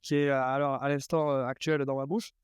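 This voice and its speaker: A startup co-founder talking casually at a table while eating hot sauces, halting and full of fillers and restarts. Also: male voice, mid-range voice, level tone, smooth, no room sound, studio quality. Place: room around a table.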